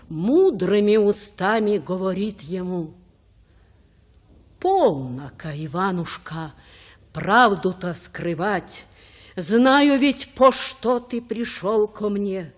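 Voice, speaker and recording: An actress playing a gentle old grandmother, telling folk tales in a measured, sing-song chant. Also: mid-range voice, animated. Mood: solemn storytelling, then gentle, knowing. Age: older. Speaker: storyteller. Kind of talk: folk verse tale, sing-song storytelling.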